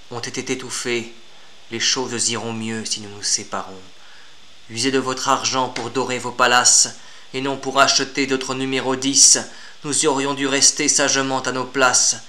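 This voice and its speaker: A man's voice: mid-range voice, flat delivery, moderate pace, sharp tone. A man reciting formal classical verse in a solemn, declamatory and grandiloquent manner. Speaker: poet reciting own poem.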